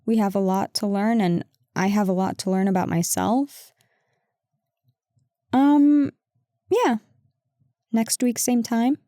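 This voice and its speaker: A woman acts out a bickering but affectionate girlfriend in an intimate audio drama. Her voice is mid-pitched and mellow, with slow, conversational delivery and a sarcastic, defensive edge. The recording is very dry and close. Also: no room sound, natural voice. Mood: conciliatory, hesitant. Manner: conversational, exasperated, sarcastic, addressing an unheard partner. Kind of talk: intimate audio roleplay acting.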